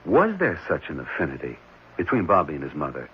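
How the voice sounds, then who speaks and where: A man playing an earnest, searching questioner in a 1970s radio drama, probing in a halting way. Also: a bass voice, huge pitch swings, full character voice. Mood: troubled probing. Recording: muffled, noisy 1970s radio recording.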